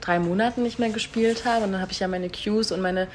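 Young actress chatting over background noise. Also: female voice, medium pitch, fairly even intonation, fairly quick. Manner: informal, agreeable, eager affirmations. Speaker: stage actress being interviewed. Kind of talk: casual interview chat. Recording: noticeable background noise on location.

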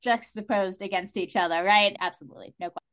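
A woman's voice: mid-range voice, fast talker, fairly bright tone. A woman in a casual, opinionated discussion as a podcast guest, speaking with emphatic enthusiasm.

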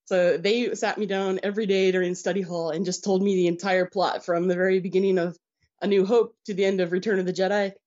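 A woman's voice: medium pitch, flat delivery, quick pace, a little mellow, dull audio. An American woman, a guest telling stories in an enthusiastic, casual way and laughing easily. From United States. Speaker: podcast guest. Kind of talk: casual interview chat.

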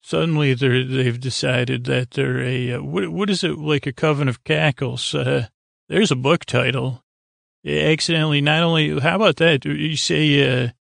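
A man with a gravelly voice hosting a bedtime podcast, meandering haltingly and stumbling over his words as he wanders off on tangents. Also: medium pitch, a bit bright, dry recording, studio quality. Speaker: podcast host. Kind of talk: rambling bedtime podcast monologue.

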